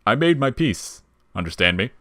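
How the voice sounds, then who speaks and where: A man voicing a wry, laid-back, quietly protective skeleton in an audio story, with casual slangy talk. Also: mid-range voice, big pitch swings, moderate pace, no echo, clean studio sound, pitched above the performer's own voice. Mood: calm, sincere. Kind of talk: dramatic audio fiction character reading.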